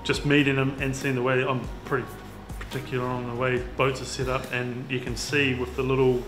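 A young man with a New Zealand accent, chatting casually about a fishing trip, relaxed and full of fillers. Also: medium pitch, fairly quick. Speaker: fisherman. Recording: roomy sound with music behind.

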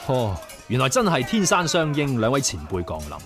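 An actor playing a courteous, earnest young leader of martial arts heroes in a radio drama, with a Hong Kong Cantonese accent. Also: male voice, medium pitch, sing-song, fairly quick, close to an everyday voice. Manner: polite, earnest. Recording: radio drama with music behind.

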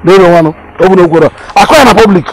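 A man's voice: high-pitched, racing, rough recording. A man with a Nigerian Igbo accent playing an exasperated, stubborn middle-aged village father in a film drama, emphatic and given to repeating himself. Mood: weary, exasperated. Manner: emphatic, repeats phrases, mixes Igbo and English. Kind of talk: Nollywood film drama acting.

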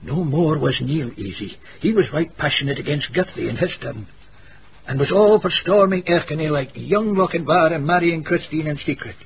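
Scottish male storyteller for radio play, wry village gossip, lively delivery, muffled old recording. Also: on the high side, moderate pace, vocal fry. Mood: earnest storytelling. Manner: storytelling, folksy. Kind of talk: radio drama narration and dialogue. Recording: dull, noisy old radio drama recording.

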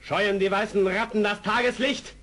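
A treacherous, menacing warrior chief in a radio play. His high-pitched voice is hard and forceful, full of taunting command. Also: steady tone, moderate pace. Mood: scornful, menacing.

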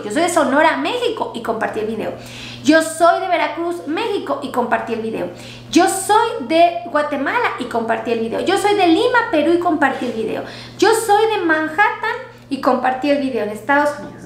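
A woman doctor gives health advice in a chatty way, repeating herself and using diminutives, recorded on a headset mic in a small clinic room. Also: high-pitched. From Mexico. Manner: warm, repetitive, uses diminutives. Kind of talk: casual health talk to viewers. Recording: echoey room with faint hum.

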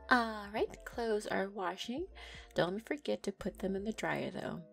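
A woman's voice: medium pitch, animated, moderate pace, creaky, a bit bright, soft background music. Woman playing a giggly teasing neko girlfriend. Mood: giggly affectionate. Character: playful affectionate young neko girlfriend. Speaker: audio roleplay performer. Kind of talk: girlfriend roleplay audio.

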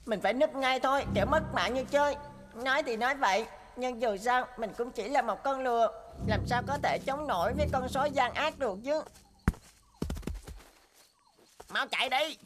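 A woman voicing a naive, boastful and stubborn young boy donkey in an animated fairy tale. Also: high-pitched, brisk, music in the background, lightly acted. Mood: frightened. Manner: boastful, whiny, talks to himself.

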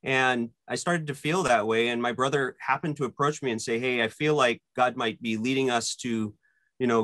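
A man's voice: mid-range voice, a bit bright, dry recording. A man in his thirties from Malaysia and the Philippines, telling his story reflectively as an interview guest. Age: 30s. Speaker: interview guest, worship band member. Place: indoors at home, on a video call.

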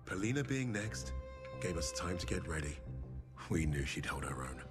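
An actor playing a grave soldier who narrates a war story in a solemn, measured way, as in a video game. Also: male voice, deep voice, big pitch swings. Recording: loud music under the voice. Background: loud music.

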